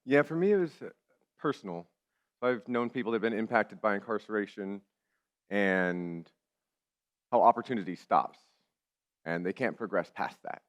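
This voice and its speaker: A man speaking sincerely on a panel about mentoring others, in a steady, personal manner. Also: fairly low pitch, normal speed, pauses often. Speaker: panelist, corporate volunteer mentor lead. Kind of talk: panel discussion answer. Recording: dull lo-fi audio.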